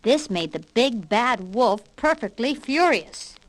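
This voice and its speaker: Female storybook narrator, high voice, very animated and emphatic, 1960s children's record sound. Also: slow pace. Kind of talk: scripted children's read-along narration. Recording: 1960s vinyl record with hiss and pops.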